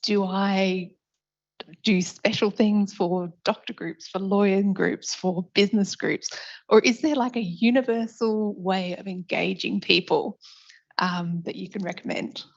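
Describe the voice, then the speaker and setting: A soft-spoken woman in the audience putting a question to a panel. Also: medium pitch, steady tone, measured pace, gravelly. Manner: soft-spoken, self-described quiet voice. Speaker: audience member asking a question. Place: seminar hall audience. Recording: muffled lo-fi event recording.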